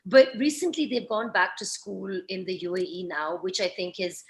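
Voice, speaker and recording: A woman's voice: medium pitch, expressive, moderate pace. A woman from Pakistan in her thirties, speaking in a thoughtful, reflective way in a conversational interview. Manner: thoughtful, reflective, with many "you know" fillers. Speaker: author and guest.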